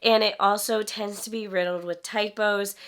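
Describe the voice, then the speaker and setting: Woman vlog host, stuffy from a cold, bright tone, indignant direct address. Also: medium pitch, moderate pace, smooth. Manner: animated and indignant, talks straight to viewers. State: congested, recovering from a cold. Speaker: author and YouTube host. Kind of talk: direct-to-camera vlog commentary.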